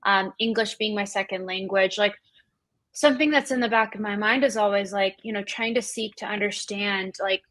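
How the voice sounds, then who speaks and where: Female interview host from Colombia, native Spanish speaker. Casual, affirming, mid-pitched, very little room sound. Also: steady tone, moderate pace, a bit bright, no room sound. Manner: appreciative, affirming. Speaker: podcast host.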